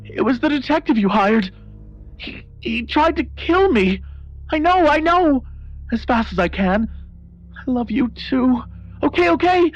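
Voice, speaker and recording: A woman playing a frightened, pleading woman on a phone call in a radio drama, halting and repeating herself. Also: sits high, big pitch swings, heavily acted. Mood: anxious, placating. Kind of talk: acted radio drama dialogue. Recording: dull lo-fi audio with music.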